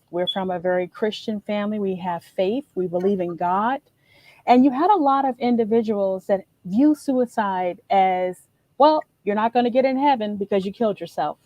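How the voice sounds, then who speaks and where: A woman speaks on an online panel in a gracious, reflective way. Her voice sounds dry and close, with no room sound, at a medium pitch. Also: darkish tone, dry recording. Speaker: panel guest. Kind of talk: webinar panel discussion.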